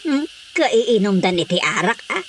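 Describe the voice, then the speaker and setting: A woman's voice: medium pitch, very animated, measured pace. A woman playing an outspoken, nagging but concerned village woman in radio drama comedy, with a northern Philippine accent. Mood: gossipy, concerned. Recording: faint cricket-like background noise.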